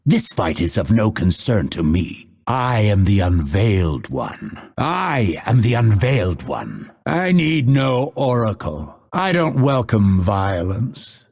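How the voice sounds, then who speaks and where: A man voicing a vengeful exiled assassin in a video game, grim and proud, with a raspy, theatrical, ominous delivery. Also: lowish voice, big pitch swings, slow, few pauses, darkish tone, exaggerated voice. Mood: cold, vengeful. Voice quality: gravelly, raspy. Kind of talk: dramatic video game voice lines.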